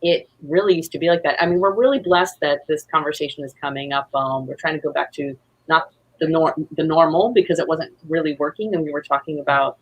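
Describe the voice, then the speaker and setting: A woman with a husky voice chatting casually as an interview guest, effusive and rambling. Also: low voice, fairly quick, lo-fi sound. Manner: effusive, rambling, acts out quotes. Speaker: guest, playwright.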